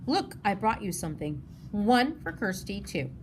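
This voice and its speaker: A woman's voice: medium pitch, big pitch swings, normal speed, natural voice. Woman reading as a friendly, serious young man. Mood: fond, cheerful. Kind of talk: children's novel read-aloud. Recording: noticeable background noise.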